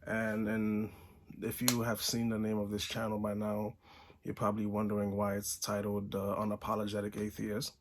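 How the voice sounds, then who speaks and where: A man's voice: fairly low pitch, monotone, normal speed, background noise. A man in his twenties from Haiti, talking earnestly to camera as a channel host, often restarting his sentences and tagging them with "right?". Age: 20s. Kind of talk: casual vlog monologue. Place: Buffalo, New York.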